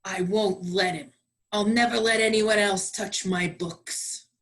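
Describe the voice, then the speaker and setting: A woman's voice: medium pitch, steady tone, takes it slowly, fairly bright tone, voice pushed down. A woman playing an earnest, possessive married man stalling his mistress in a comedy radio play.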